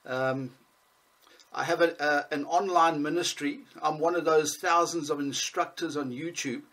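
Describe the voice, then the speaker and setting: A man in his thirties with a Southern African accent, teaching Bible lessons over a video call, talking with many pauses and restarts. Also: on the high side, steady tone, bright, forceful tone. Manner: hesitant, many ums and restarts.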